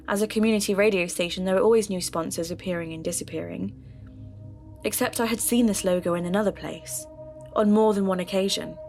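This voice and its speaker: A woman playing an earnest, determined podcaster, narrating a mystery audio drama in a measured, confiding way. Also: mid-range voice, darkish tone, lightly acted. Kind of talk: scripted first-person audio drama narration.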